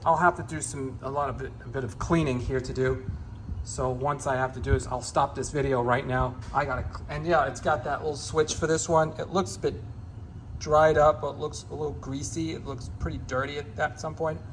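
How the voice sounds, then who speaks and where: A man giving a casual, unscripted hands-on demonstration as a gear hobbyist, in a halting, repetitive, rambling way. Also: a little high, steady tone, slight background noise.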